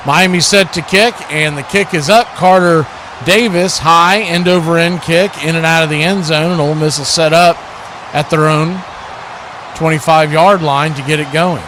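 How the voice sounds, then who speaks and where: A man's voice: fairly high pitch, fairly even intonation, moderate pace. A man hosting a watch party with live football play-by-play, often rephrasing as the play unfolds, crowd noise behind him. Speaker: play-by-play host of a sports watch party stream.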